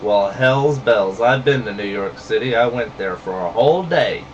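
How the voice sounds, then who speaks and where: A man's voice: lowish voice, expressive, normal speed, far from the mic, muffled recording, slight background noise. A man with a Southern US accent, ranting bluntly and saltily to camera in a heated monologue. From rural southern United States. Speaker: vlogger.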